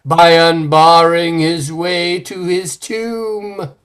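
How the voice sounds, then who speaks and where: An actor playing a solemn village preacher in an old-time radio drama, speaking in a grave, measured, formal way. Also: male voice, fairly high pitch, little pitch movement, fairly bright tone, clear, resonant tone.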